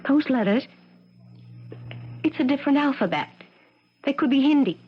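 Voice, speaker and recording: A woman's voice: high-pitched, lively intonation, moderate pace. An actress playing an intense, earnest woman professor who insists on her innocence, in 1970s radio drama style. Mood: insistent. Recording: dull, slightly noisy 1970s radio recording.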